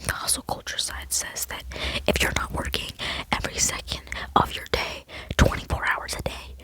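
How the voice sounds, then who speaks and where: A woman's voice: moderate pace, pushed and bright. A woman in her late teens whispering an earnest, rambling ASMR chat into a close microphone. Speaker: ASMR vlogger.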